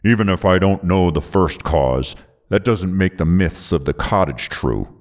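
A man's voice: sits low, animated, moderate pace. A man voicing a sneering, hostile and scornful male villain in an audiobook. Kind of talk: audiobook character voice.

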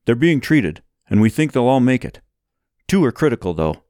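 A man's voice: medium pitch, very animated, normal speed, a little mellow, no room sound, clean studio sound, voice pushed up, close to an everyday voice. A man voicing a sober, businesslike servant giving a report in an audiobook. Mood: sober urgency.

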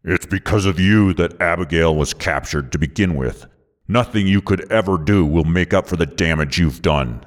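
A man's voice: sits low, normal speed, natural voice. A man voicing a sneering, hostile and scornful male villain in an audiobook. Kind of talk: audiobook character voice.

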